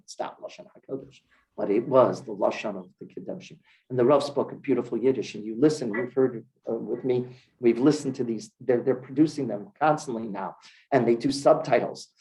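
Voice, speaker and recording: Man, rabbi, teaching a class, emphatic, swings between loud and soft. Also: poor audio. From Cleveland, US. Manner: emphatic, rising to stress key words, then dropping low. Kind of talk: Torah class sermon. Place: synagogue hall with congregation.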